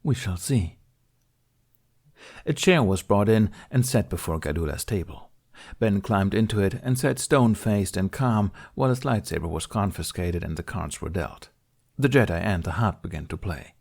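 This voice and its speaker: A male narrator reads a story from a script. His voice sits low, and the delivery is that of an audiobook. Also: lively intonation, normal speed, creaky, darkish tone.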